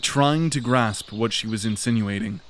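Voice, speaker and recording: A man narrating a fantasy audio drama in a measured, dramatic way. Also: lowish voice, a little hiss or hum.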